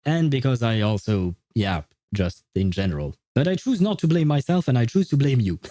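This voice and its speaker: A man's voice: mid-range voice, mellow, dry recording. A man hosting a livestream, chatting casually with viewers in a rambling, playfully teasing way. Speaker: livestream host. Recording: dry voice over faint background music.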